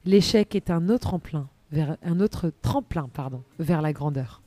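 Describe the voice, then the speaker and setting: A woman presenting a chatty live radio magazine segment in a bubbly, playful and expressive manner. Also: low voice, unhurried, dry recording, faint music behind.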